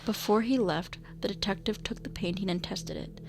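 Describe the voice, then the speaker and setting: Woman narrator with a creaky voice. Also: medium pitch, moderate pace, background noise. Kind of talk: scripted radio drama narration.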